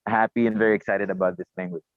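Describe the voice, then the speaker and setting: A man's voice: on the low side, little pitch movement, rapid-fire, smooth, dry recording. Haitian man on a tinny call line. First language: Haitian Creole. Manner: hesitant, many fillers and repeated words. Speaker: podcast guest. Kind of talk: conversational interview. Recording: tinny phone-like remote call.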